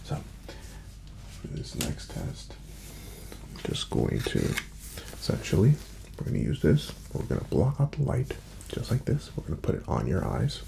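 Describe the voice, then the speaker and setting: A man with a low, heavily creaky voice speaks softly, often dropping to a breathy whisper. He plays a calm doctor in an ASMR exam, giving gentle, unhurried instructions with a lilting rise and fall, over faint background noise. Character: calm, courteous male doctor examining a patient. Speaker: ASMR roleplayer playing a doctor. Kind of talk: soft-spoken ASMR medical exam roleplay.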